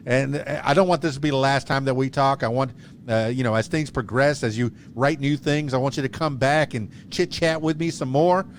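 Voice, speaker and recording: A man in his fifties hosts a podcast in a relaxed, rambling way, joking and restarting his sentences. His voice sits at an ordinary middle pitch. A faint low rumble runs underneath. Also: brisk. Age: 50s. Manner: rambling, jokey, with many restarts. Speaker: podcast host. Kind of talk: casual interview chat.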